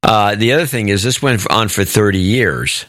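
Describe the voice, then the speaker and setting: A man's voice: on the low side, moderate pace, fairly bright tone, no echo, clean studio sound. A man hosting a talk show, thinking aloud in casual conversation with a skeptical, questioning manner.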